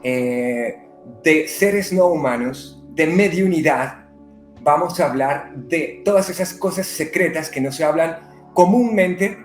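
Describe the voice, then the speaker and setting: A man from Colombia, a medium and psychotherapist, speaking unhurriedly with many pauses in an interview. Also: fairly high pitch, reverberant. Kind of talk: conversational interview. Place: living in Brazil.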